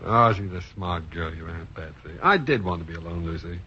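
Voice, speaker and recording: An actor playing a sheepish, charming estranged husband in a 1940s radio comedy, bantering with small stammers. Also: male voice, sits low, brisk, pushed and bright. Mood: contrite. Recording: muffled, noisy vintage radio recording.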